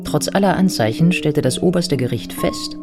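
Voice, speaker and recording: A matter-of-fact female narrator tells a historical life story in a radio play. The studio narration is scripted and set over clear music. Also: sits low, lively intonation, normal speed.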